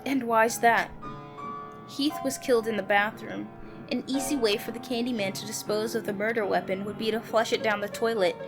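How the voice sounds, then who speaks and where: A woman's voice: on the high side, steady tone, normal speed. Woman reading a story game over music. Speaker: player reading a visual novel aloud. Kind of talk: dramatic reading of narration and character dialogue. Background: background music.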